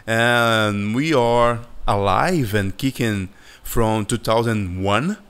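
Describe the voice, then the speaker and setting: A man with a Brazilian Portuguese accent, a Portuguese native speaker talking casually to his viewers as an animator hosting his channel, unhurried and searching for words. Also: fairly low pitch, a bit bright, smooth. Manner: slow and halting, searching for words, friendly. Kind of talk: casual vlog chat over a screen recording.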